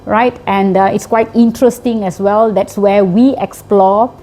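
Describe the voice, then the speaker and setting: A middle-aged woman with a Malaysian English accent talks through her teaching in an interview. Her voice is mellow and unforced, and she keeps checking in with quick tag questions. A faint hiss sits under the recording. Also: mid-range voice, steady tone. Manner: explanatory, often ends with a tag question. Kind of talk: interview answers.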